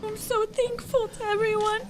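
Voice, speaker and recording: A woman's voice: very high-pitched, level tone, normal speed, background noise. A tearful woman making an emotional statement in a news interview. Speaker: victim's relative interviewed for news.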